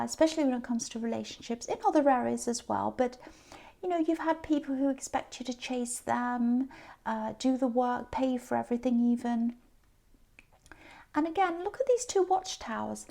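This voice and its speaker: Hoarse, high-voiced woman tarot reader. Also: mellow. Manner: chatty and meandering, speaking straight to the viewer. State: hoarse, sore voice from talking a lot. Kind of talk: casual tarot reading to camera.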